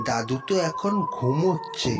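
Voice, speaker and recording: A narrator as a naive, animated young boy. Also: medium pitch, normal speed, close to an everyday voice. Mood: hesitant. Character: naive, anxious young village-visiting grandson. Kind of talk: cartoon story acting. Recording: loud music behind.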